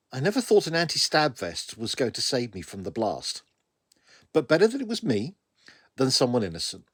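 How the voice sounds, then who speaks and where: A man's voice: on the high side, dry recording, studio quality, full character voice. A British man voicing a wounded, stubborn young woman paramedic in a wry, musing first-person audiobook narration. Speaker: author reading own story.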